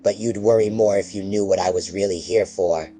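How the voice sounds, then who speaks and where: A low, dark, mocking croon from a slimy monster posing as someone's mother, as a man puts it on. The voice sounds set back from the mic, with soft music underneath. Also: fairly even intonation, fairly quick, lightly acted. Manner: mocking, sinister, cooing.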